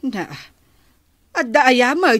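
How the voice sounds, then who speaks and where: Slow-talking, aggrieved village wife. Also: female voice, high voice, lively intonation, a bit bright, dry recording, full character voice. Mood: defensive. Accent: Ilocano. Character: aggrieved village wife in debt, bossy with husband. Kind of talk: Ilocano radio comedy drama acting.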